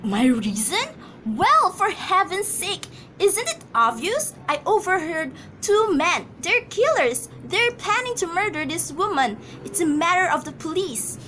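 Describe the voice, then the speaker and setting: A woman's voice: extremely high pitch, very animated, a little slow, bright, forceful tone, a little hiss or hum, full character voice. A woman acting an anxious, imperious, easily flustered wife in an amateur radio drama, agitated and stammering. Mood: exasperated.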